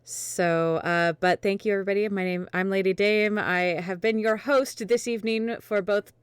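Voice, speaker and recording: A woman's voice: medium pitch, steady tone, normal speed, clean tone, dry recording. A woman hosting a livestream, chatting casually and effusively in a grateful way. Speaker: streamer and host of a fan fiction reading show. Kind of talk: casual livestream sign-off chat.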